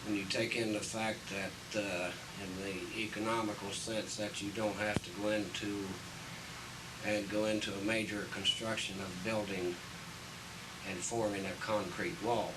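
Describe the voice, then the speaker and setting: A man giving unscripted explanations as an interviewed city official, halting and hesitant, often pausing mid-phrase, on an old 1980s video soundtrack. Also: on the low side. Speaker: city public works official being interviewed. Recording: distant mic, noisy 1980s video soundtrack.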